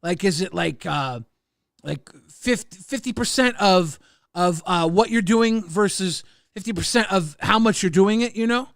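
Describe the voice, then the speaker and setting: A man hosting a casual livestream podcast, rambling and halting, swearing and reading out chat messages. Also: high-pitched, gravelly, no room sound, clean studio sound.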